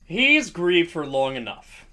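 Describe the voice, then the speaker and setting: A man's voice: big pitch swings, slow pace, pushed and bright, exaggerated voice. A man voicing a menacing, stern male cat warrior with a growl, in an acted fan audiobook. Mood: stern, impatient. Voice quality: deep growl.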